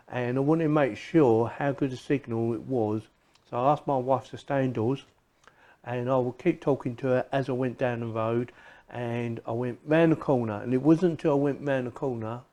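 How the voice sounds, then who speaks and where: A man with a mellow, relaxed voice talks casually through a product review. He is chatty and unscripted, circling back over his phrases as he explains. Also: medium pitch. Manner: chatty, repeats phrases as he goes.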